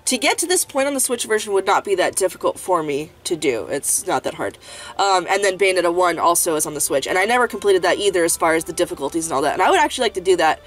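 A woman's voice: on the low side, lively intonation, fast. A young woman hosting a gaming channel, chatting casually while she plays, congested and sniffly, rambling with lots of fillers and restarts. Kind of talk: casual let's-play chat. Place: home room, indoors. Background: game music.

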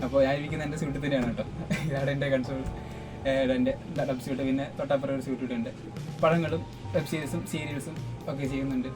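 A man's voice: medium pitch, fairly even intonation, fast talker, music in the background, rough recording. A man chatting casually as an interview guest in a dubbing studio. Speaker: interviewee, sound engineer and dubbing artist. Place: small dubbing studio suite.

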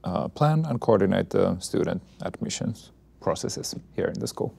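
A man's voice: low-pitched, animated, moderate pace, vocal fry, darkish tone, breathy edge, no echo. A man in his thirties, an admissions officer, introducing himself in a plain, matter-of-fact way on a lapel mic. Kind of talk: panel introduction.

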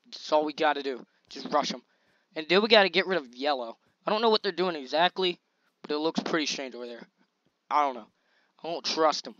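A young man talks over his gameplay in a loose, slangy way, hyping himself up as the action goes. His voice is a little scratchy and sits slightly high for a man. The recording is dry and a bit dull, like a basic home mic. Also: a little fast, no room sound. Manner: excitable, chatty, slangy hype while playing. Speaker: gaming channel host. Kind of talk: casual gameplay commentary.

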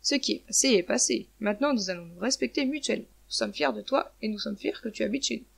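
A woman's voice: on the high side, animated, fast, vocal fry, husky, heavily acted. A woman voicing polite, apologetic pigeons in a children's story, earnest and admiring. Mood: apologetic, kind. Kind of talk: children's storybook character reading.

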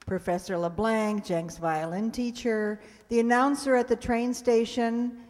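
A woman's voice: a little high, steady tone, a little slow, darkish tone. An older woman introducing a show to an audience from a stage, chatty and asking questions. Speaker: presenter introducing a community radio-play show. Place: stage in a community hall. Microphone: microphone on a stand, close. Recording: echoing hall sound.

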